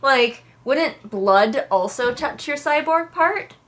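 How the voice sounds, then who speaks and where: A woman's voice: high voice, expressive, slow, fairly bright tone. A woman giving casual, sarcastic commentary as she hosts a book readalong, in a wry, exasperated, conversational way.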